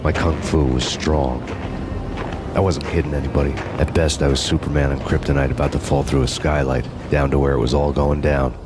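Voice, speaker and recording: Brooding male noir narrator, extremely deep, monotone, score under him. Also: brisk, music in the background. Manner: bleak, brooding monologue. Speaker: narrator reading a game story.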